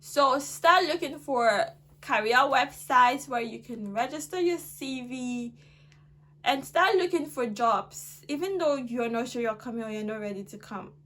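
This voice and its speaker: Bright animated Ghanaian woman vlogger. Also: high-pitched, a little slow. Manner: earnest, animated, rising to emphatic exhortation. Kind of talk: advice vlog to camera.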